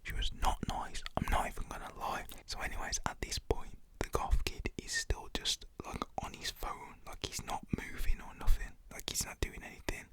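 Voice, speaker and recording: A man telling a story in a hushed, chatty whisper full of fillers. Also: moderate pace, few pauses.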